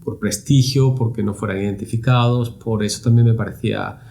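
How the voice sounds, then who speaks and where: Low gravelly man, thoughtful. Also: steady tone, brisk, warm tone, a little hiss or hum. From Spain. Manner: thoughtful, repeats words while searching for phrasing. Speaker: university lab director, guest. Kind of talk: reflective interview answers.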